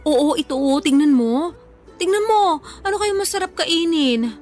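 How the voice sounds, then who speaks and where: A woman's voice: high voice, animated, moderate pace, darkish tone. An actress playing a playful, food-loving young woman in a Tagalog radio drama, chatting casually in Taglish with a Filipino accent and a teasingly defensive manner. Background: background music.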